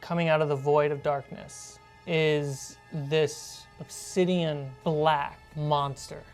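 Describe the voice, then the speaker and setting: A woman's voice: low voice, fairly even intonation, takes it slowly, a little mellow, faint music behind. A woman narrating a tabletop role-playing game as its game master.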